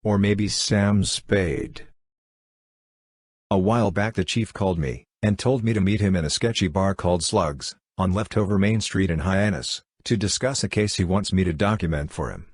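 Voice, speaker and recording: A writer narrating a detective radio story in first person, read by a man. His voice sits low, and he tells the tale in a dry, wry way. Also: moderate pace, slightly rough, no echo. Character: male writer documenting a chief's cases.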